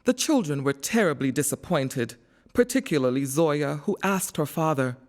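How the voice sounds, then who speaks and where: A woman narrating a scripted children's storybook in an expressive, measured storytelling manner. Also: sits low.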